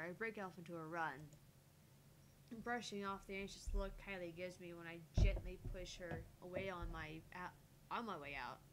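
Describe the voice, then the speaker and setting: A woman streamer reading a visual novel aloud as theatrical first-person narration, with deliberate phrasing. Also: fairly low pitch. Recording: loud background noise, electrical hum, clicks.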